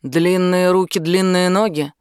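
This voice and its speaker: A woman's voice: fairly low pitch, fairly even intonation, measured pace, smooth, no echo, clean studio sound, lightly acted. A woman reading an audiobook, voicing a blunt, commanding and protective man who explains things firmly. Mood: firm, concerned. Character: angry, protective British man, outdoorsy and knowledgeable. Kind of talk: audiobook character dialogue.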